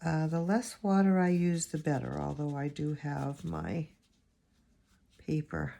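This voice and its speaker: A woman with a low, gravelly voice, hoarse and raspy from allergies, talks through a watercolor tutorial. Her tone is dark and mellow. She rambles and thinks aloud as she paints, into a phone camera. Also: measured pace. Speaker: watercolor teacher.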